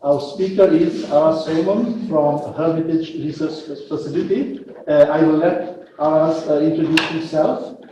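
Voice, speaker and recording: A man's voice: on the high side, steady tone, normal speed, mellow, muffled recording. A man hosting a seminar, giving a brief, hesitant, formal introduction of the speaker. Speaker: seminar host. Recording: echoey room, distant mic, faint noise.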